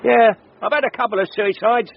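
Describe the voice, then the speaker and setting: A man's voice: very high voice, fairly quick, vocal fry. A man playing a chatty, chuckling veteran British train driver in a radio drama, wry and blunt. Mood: darkly jovial. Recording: dull, noisy radio recording.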